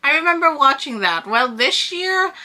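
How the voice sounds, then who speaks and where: A woman's voice: high-pitched, sing-song, moderate pace, pushed and bright. A woman in her early thirties chatting casually in a sit-down vlog, rambling and laughing often. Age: 30s. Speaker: vlogger.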